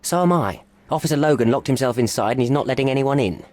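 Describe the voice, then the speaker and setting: An actor playing a put-upon, sarcastic police officer in a video game, with a gruff, blunt, griping manner. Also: male voice, medium pitch, fast, a little mellow, natural voice. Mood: sardonic. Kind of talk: video game cutscene acting.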